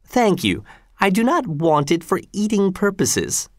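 A reader putting on a sneering tyrant governor, swooping pitch. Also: sing-song, unhurried, dry recording, studio quality. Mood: menacing. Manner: mocking, commanding, falsely reasonable. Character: sneering tyrant governor, mocking, imperious, cruel man.

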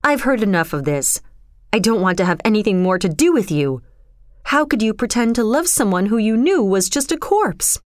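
A woman's voice: mid-range voice, sing-song, normal speed, no echo, studio quality, lightly acted. A woman storyteller voicing an earnest young man, a shocked suitor who speaks with incredulous indignation. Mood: angry.

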